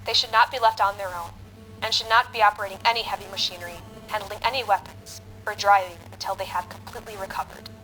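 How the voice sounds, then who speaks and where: A woman's voice: mid-range voice, fairly even intonation, fast, sharp tone, faint music behind, close to an everyday voice, low-quality recording. An actress playing a matter-of-fact woman reading out first-aid advice in an audio drama broadcast. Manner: clinical, instructional.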